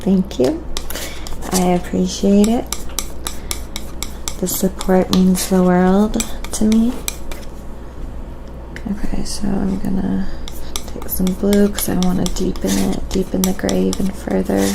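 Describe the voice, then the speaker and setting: A soft-spoken woman hosting a casual, quiet ASMR painting livestream, thinking aloud in an unhurried way as she works. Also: medium pitch, little pitch movement, creaky, noisy background.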